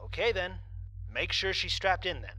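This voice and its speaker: A woman playing a firm, by-the-book spaceship commander in an audio drama, with a husky voice and authoritative manner. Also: lowish voice, animated, normal speed, noisy background, natural voice. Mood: businesslike.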